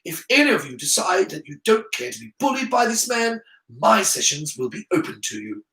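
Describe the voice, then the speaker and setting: A man voicing a proud, stubborn, defiant schoolboy in a story, speaking with deliberate emphasis. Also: high-pitched, wildly swooping pitch, bright, forceful tone, pitched above the performer's own voice, exaggerated voice. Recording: roomy echo.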